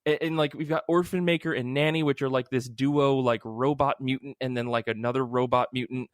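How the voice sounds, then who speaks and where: A man's voice: mid-range voice, steady tone, fairly quick, no room sound, clean studio sound. A man hosting a podcast, chatting casually and enthusiastically in a rambling, informal way. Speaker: podcast host. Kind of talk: casual comic book podcast chat.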